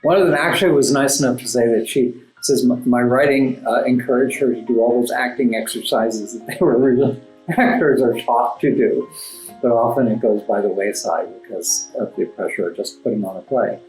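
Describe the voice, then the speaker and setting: A man giving conversational interview answers as a guest playwright, articulate and formally worded, often halting and repeating words for emphasis. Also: medium pitch, a little mellow. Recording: roomy echo, music underneath. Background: classical background music.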